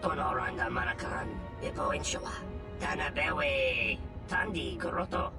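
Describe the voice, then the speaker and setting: An actor playing a gruff alien thug in a video game, speaking an invented tongue in a guttural, forceful way. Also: male voice, mid-range voice, measured pace. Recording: distant voice under loud music.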